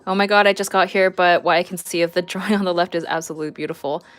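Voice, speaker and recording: A woman in her thirties with a slightly low voice talks in a relaxed, informal livestream style. She is chatty and giggly, moving between reading out viewer messages and answering them while she draws. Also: rapid-fire. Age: 30s. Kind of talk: casual livestream chat while drawing.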